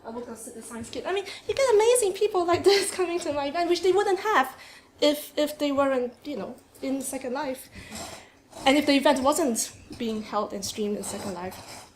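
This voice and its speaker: A woman with a Singaporean accent giving an academic conference talk in a seminar room, lively, with frequent "um"s and laughs. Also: high voice, moderate pace. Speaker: conference speaker. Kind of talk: academic presentation. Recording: echoey room, faint noise.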